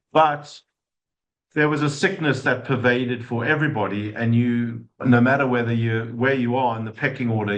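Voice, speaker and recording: A middle-aged man with a South African accent, giving an online talk over a webcam from an office, halting with many fillers and restarts. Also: medium pitch, rough recording. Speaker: speaker. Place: office with glass walls. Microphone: video call webcam.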